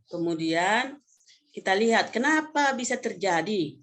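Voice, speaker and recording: A woman in her fifties from North Sumatra, Indonesia, presenting slides informally as a professor, in a conversational, halting way with self-corrections. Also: medium pitch, animated, fairly bright tone. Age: 50s. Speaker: vocational education professor presenting a webinar.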